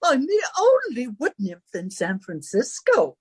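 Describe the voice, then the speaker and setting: A woman's voice: high voice, wildly swooping pitch, measured pace, slightly rough, no echo, clean studio sound, exaggerated voice. A woman playing a vain, flirtatious wealthy society hostess, gushing and affected, in 1940s radio style. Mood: coy, gushing. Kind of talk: recreated 1940s radio comedy-drama acting.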